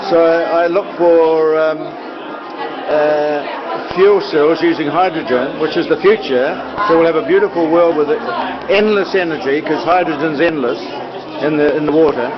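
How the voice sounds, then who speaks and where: Older NZ man, chatty rambler, a touch high-pitched, lo-fi recording in a packed room. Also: over music. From New Zealand. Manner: chatty, meandering, many filler pauses. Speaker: live-streaming videographer. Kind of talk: rambling casual chat. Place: busy indoor boutique at an event. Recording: dull lo-fi audio with loud crowd.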